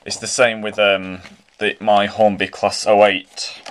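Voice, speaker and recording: A man's voice: lowish voice, flat delivery, measured pace, noisy background. A man with a British accent chatting casually and eagerly while unboxing a model locomotive at home, rambling with many restarts. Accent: British English. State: slightly croaky throat. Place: indoors at home, carpeted floor.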